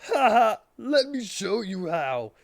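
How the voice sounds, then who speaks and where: A man voicing a menacing, taunting alligator villain in a mock-dramatic story reading, shouting his lines. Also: high-pitched, very animated, slow pace, gravelly, voice pushed up, full character voice. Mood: gloating menace. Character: menacing, gloating male alligator villain, loud and furious.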